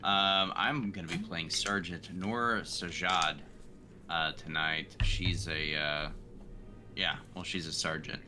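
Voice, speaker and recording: A man's voice: sits low, fairly even intonation, slow pace, over music. A man chatting casually as a player introducing himself on a tabletop game show.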